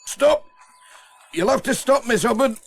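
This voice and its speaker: High, creaky, flustered farmer. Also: male voice, expressive, unhurried, slight background noise, full character voice. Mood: urgent. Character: flustered male farmer on a tractor, grumbling, stuck. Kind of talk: children's storybook audiobook character voice.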